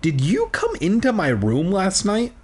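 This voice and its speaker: Guy doing a bossy suspicious little girl from a storybook, husky, pitch jumps way up. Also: huge pitch swings, slow, exaggerated voice. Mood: sharp, suspicious.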